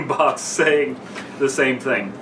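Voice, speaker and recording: A man's voice: medium pitch, very slow, distant mic. A young man co-hosting a podcast, chatting casually and reading aloud with asides. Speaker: podcast co-host. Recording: echoey room, off-mic, clear background noise.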